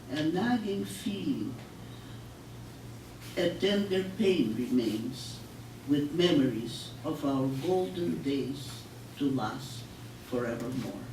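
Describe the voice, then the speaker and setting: Slow older woman reading poetry, echoey room. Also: low voice. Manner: measured, solemn, deliberate delivery with pauses. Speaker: poet. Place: public library room, live reading. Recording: echoey room, distant mic, noisy.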